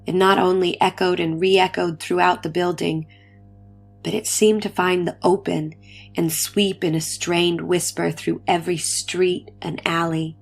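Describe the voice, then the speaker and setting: Low-pitched woman reading a story dramatically. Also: flat delivery, a little slow, creaky. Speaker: story reader. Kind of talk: dramatic reading of early science fiction. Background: faint music.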